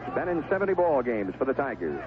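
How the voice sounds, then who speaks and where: A man giving live 1970s radio play-by-play of a baseball game, describing each pitch in short, clipped phrases. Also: big pitch swings, fast, a crowd in the background. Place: outdoor ballpark broadcast booth. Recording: noisy, tinny narrowband vintage radio broadcast.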